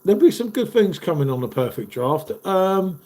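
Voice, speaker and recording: A man's voice: sits high, sing-song, moderate pace, background noise. A man in his fifties, hosting a casual live stream and chatting matily with viewers while stuffed up from a cold. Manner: chatty, matey, calls viewers "mate".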